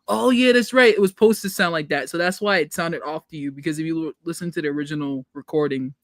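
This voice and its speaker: A man's voice: fairly high pitch, no room sound, clean studio sound. A man chatting casually as a podcast guest, telling stories in a chatty, loose way. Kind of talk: casual chat.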